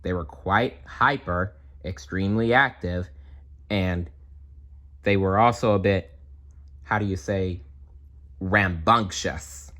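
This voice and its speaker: A man's voice: deep voice, slow, pauses often, sharp tone. A man reading a children's story aloud expressively, with casual asides and simple explanations. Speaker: storybook reader.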